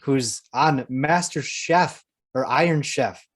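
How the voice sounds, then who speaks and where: Male podcast co-host, mid-pitched voice, informal and teasing conversational delivery. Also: slow. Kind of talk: casual pop culture chat.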